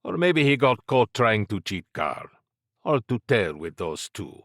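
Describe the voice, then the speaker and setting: A man's voice: medium pitch, big pitch swings, a little slow, a bit bright, dry recording, clean studio sound, voice pushed up, heavily acted. A man voicing a wealthy, cigar-smoking fixer who talks in an expansive, wry, musing manner.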